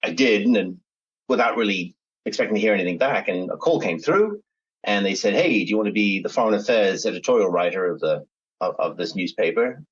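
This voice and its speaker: A man's voice: on the low side, expressive, a little fast, breathy edge, lo-fi sound. A middle-aged man with a mixed American, British and Australian accent, rambling through a podcast interview as a guest author, with many restarts. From United States. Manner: meandering, many restarts and fillers.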